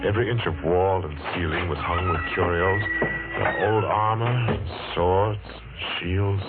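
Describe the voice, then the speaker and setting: A man's voice: sits low, fairly even intonation, measured pace. An actor playing a haunted man telling of his past, in grave, suspenseful old-time radio narration. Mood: haunted dread. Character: haunted man recounting his dreadful boyhood. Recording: tinny vintage radio with music.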